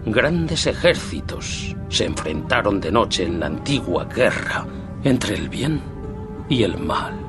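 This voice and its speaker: An actor voicing a solemn narrator of a dark mythic prologue, speaking slowly and gravely in a dubbed series. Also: male voice, fairly low pitch, big pitch swings. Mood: solemn, ominous. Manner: slow, grave, portentous. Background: loud music.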